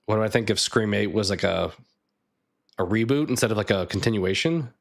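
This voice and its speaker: A man streaming a card game live, thinking aloud and chatting with viewers, his voice a bit raspy. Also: fairly low pitch, a little fast. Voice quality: slightly raspy. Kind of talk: casual live gameplay chat.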